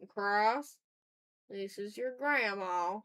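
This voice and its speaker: A woman's voice: mid-range voice, very slow, a bit bright, rough recording. A woman livestreaming as she draws, chatting casually with viewers and muttering to herself as she talks through each step. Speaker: art streamer. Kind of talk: casual livestream chat while drawing.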